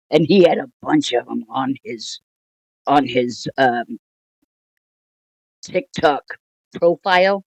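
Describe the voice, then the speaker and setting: An older woman telling a personal story casually, in a rambling, halting, wryly amused way. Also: sits low, darkish tone, no echo, rough recording. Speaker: storytelling vlogger.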